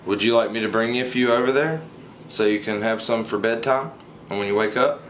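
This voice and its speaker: A young man with a fairly low voice, teasing and smooth-talking into a phone held close to his mouth. He makes a prank call from a home kitchen at night. Clear background noise hums under the recording. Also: brisk. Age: young adult. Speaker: prank caller. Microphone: phone held close to mouth, camera close by.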